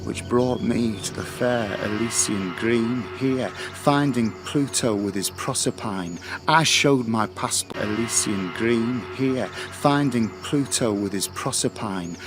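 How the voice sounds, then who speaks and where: An actor playing the grieving, haunted ghost of a young Spanish courtier, speaking solemn, declamatory verse in a radio drama. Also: male voice, medium pitch, lightly acted. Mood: awed, intense.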